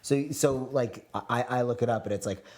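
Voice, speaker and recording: A man's voice: mid-range voice, moderate pace, darkish tone. A man in his late twenties in casual group chat, talking earnestly and getting fired up, with many restarts. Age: late 20s. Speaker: reality show contestant.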